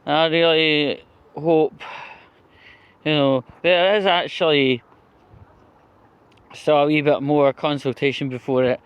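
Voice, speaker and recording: A Scottish man with a slightly high, clean and smooth voice talks through his political opinions in a loose vlog style. He takes his time, rambling in a conversational way. His manner is blunt and sweary, and he often tacks a short question onto his points. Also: dull audio. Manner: conversational with frequent tag questions. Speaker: vlogger.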